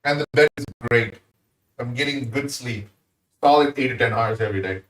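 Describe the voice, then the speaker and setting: A man's voice: medium pitch, moderate pace, a bit bright. A man with an Indian accent, casually chatting with viewers while cooking on a live stream. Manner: easygoing, chats with viewers while working. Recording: roomy sound, mic at a distance.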